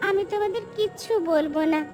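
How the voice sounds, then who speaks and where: A woman's voice: extremely high pitch, quick pace, darkish tone, faint music behind. A woman voicing an earnest, determined young village daughter in a cartoon, pleading and emphatic. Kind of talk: Bengali cartoon dialogue acting.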